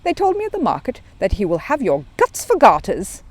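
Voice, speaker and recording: A woman plays an earnest young male bear from a children's storybook. He is courteous and takes things very literally. His voice is lifted and swings excitedly up and down. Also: medium pitch, fairly quick, no echo, pitched above the performer's own voice. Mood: polite, hopeful.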